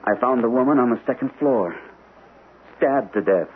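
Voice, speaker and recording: An actor playing an earnest, troubled army captain in an old-time radio mystery, on a hissy narrow-band broadcast. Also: male voice, mid-range voice, expressive, normal speed, close to an everyday voice. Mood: shaken, insistent. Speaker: radio actor, also narrating in character.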